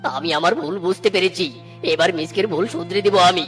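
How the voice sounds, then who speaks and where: A man's voice: sits high, normal speed, a bit bright. Man voicing an earnest old tiger uncle, music behind. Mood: remorseful. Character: aging male tiger uncle, struggling chilli farmer, earnest. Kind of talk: Bengali cartoon voice acting. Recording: music bed under the voice. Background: background music.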